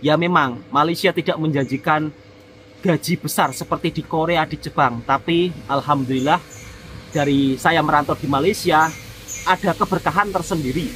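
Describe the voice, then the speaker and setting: A man's voice: a little high, normal speed, a bit bright, slight background noise, poor audio. A man from Indonesia vlogging in a friendly, devout manner, often referring to himself by name in the third person. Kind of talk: casual vlog talk to viewers.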